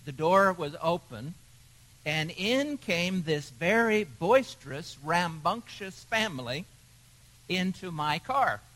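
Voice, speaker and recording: Male pastor, slow measured storyteller, slightly high voice, sermon delivery. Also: animated, a bit bright, rough recording.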